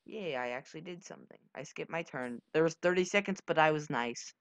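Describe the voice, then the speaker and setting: A young man chatting casually and jokingly while playing a video game, with an animated manner. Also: a little high, a little fast, clean tone, dry recording. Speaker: gaming video host and player. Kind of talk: casual gaming chat.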